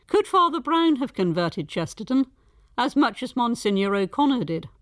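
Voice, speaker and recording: A woman presenting a scripted documentary in a measured, articulate way. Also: a little high, big pitch swings, dry recording, studio quality.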